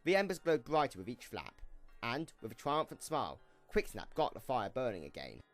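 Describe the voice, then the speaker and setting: Male narrator, dramatic storytelling, lively pitch swings, mid-range voice, music underneath. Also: moderate pace.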